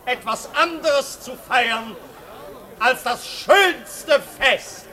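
An actor playing a flamboyant marquis who hosts a grand masked ball, speaking grandly and theatrically in 1950s German radio drama style. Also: male voice, very high voice, very slow, pushed and bright, full character voice. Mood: grandiose, ceremonial. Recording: 1950s radio production, music and crowd behind.

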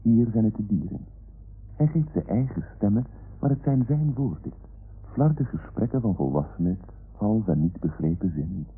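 A man as narrator, giving a measured, poetic, literary scripted introduction. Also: fairly low pitch, animated, husky. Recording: tinny narrow-band old radio broadcast. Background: music.

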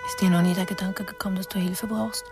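A woman's voice: medium pitch, flat delivery, quick pace, music in the background, natural voice. A woman playing a weary, reproachful partner in a crime radio play, speaking with restraint. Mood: concerned reproach.

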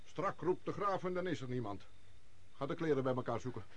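Man as a husky, bossy senior servant. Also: medium pitch, lively intonation, brisk, natural voice. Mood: scolding. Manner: scolding, exclaiming. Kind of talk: radio drama acting.

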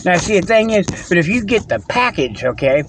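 Man, somewhat high-pitched, folksy rambling comic host talking in his truck. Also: big pitch swings, fairly quick, a little hiss or hum. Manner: folksy, rambling, peppers talk with "okay" and "you know".

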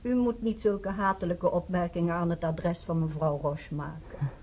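A woman's voice: on the low side, steady tone, moderate pace, mellow, a little hiss or hum, close to an everyday voice. A woman playing a blunt questioner in a radio drama, speaking in a pointed, challenging manner on a muffled recording.

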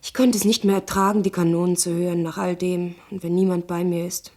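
A woman's voice: lowish voice, little pitch movement, brisk, mellow, natural voice. A woman playing a frightened, pleading young woman in a radio play. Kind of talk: German radio play acting.

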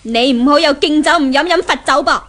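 Haughty, high-voiced Cantonese rich girl. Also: fairly quick, fairly bright tone. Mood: threatening. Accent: Hong Kong Cantonese. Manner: haughty, taunting. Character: haughty, jealous rich young woman threatening her love rival. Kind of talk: 1970s Hong Kong radio drama acting. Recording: noisy old 1970s radio recording.

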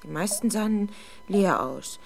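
A young woman playing a frightened, defensive teenage girl under police questioning in a 1970s German radio drama, halting and easily exasperated. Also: medium pitch, lively intonation, vocal fry, soft background music. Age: young adult. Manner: hesitant, halting, exasperated when doubted.

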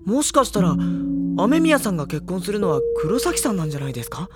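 Teenage schoolboy lead and narrator, acted, audio drama: courteous, matter-of-fact, reflective, set over clear background music. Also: medium pitch, big pitch swings, fast, natural voice. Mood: reasoning. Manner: polite, restrained, matter-of-fact. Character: polite, level-headed teenage schoolboy, quietly reflective narrator. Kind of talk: Japanese audio drama acting and first-person narration. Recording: studio drama with music behind.

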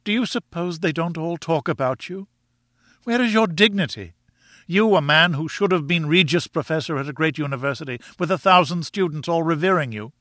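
A man's voice: on the high side, fairly quick, a bit bright, dry recording, studio quality, voice pushed up, lightly acted. A man voicing a small, furious, scolding wife who exclaims indignantly in an audiobook. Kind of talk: audiobook character acting.

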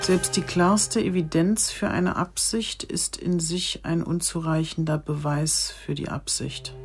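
Female for radio thriller: determined middle-aged chief inspector, low register, brusque, over underscoring music. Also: fairly even intonation, measured pace. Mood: pensive. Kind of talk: German radio crime drama acting.

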